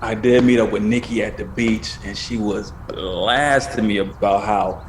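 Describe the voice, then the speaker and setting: A man's voice: fairly low pitch, steady tone, unhurried, a little hiss or hum, lightly acted. A man acting in an audio drama as a conflicted young man casually confiding his troubles to a friend. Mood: uneasy. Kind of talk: naturalistic scripted audio drama.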